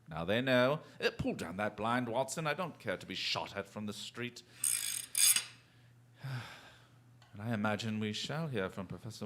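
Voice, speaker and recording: Radio drama lead: urbane, self-assured English detective, lowish register, very lively intonation, theatrical and amused. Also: male voice, slight background noise. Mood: composed, shrewd. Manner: urbane, theatrical, drawn-out courteous phrasing.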